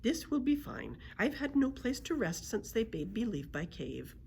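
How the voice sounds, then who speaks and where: A woman's voice: on the high side, sing-song, normal speed, creaky, a little mellow, slight background noise, voice pushed up. A woman voicing a small, polite but indignant male dragon with a head cold in a children's storybook. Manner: courteous, fussy, complaining.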